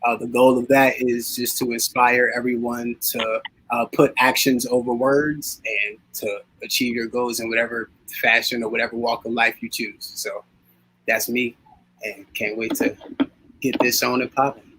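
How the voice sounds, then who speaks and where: A man who teaches breaking introduces himself on a panel in a relaxed way, with fillers. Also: medium pitch, moderate pace, a little hiss or hum, rough recording.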